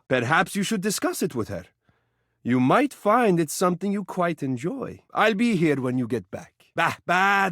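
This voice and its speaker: A male actor voicing a suave living mirror in a video game, an adoring flatterer who gushes with theatrical drama. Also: high voice, no room sound, clean studio sound. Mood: gentle, earnest. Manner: effusive, dramatic, gushing, doting. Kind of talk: video game character voice acting, romantic.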